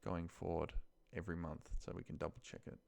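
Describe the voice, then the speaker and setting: A man's voice: low-pitched, steady tone, fairly quick, gravelly, darkish tone. A man giving a casual screen-share walkthrough, thinking aloud and muttering to himself in short phrases. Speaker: office trainer showing a data task. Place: indoors at a computer, screen recording.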